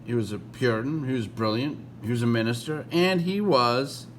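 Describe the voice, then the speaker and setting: American man reading aloud, with background hum. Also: mid-range voice. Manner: halting delivery, stumbling and correcting himself. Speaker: read-along host reading a history book aloud. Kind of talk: informal read-aloud with casual asides.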